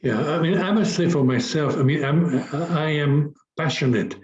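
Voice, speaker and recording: A man's voice: fairly high pitch, normal speed, a little mellow, roomy sound, highs cut off. A middle-aged man from France, a business coach speaking as a guest in an interview, talking hesitantly with many restarts and fillers. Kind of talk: conversational interview.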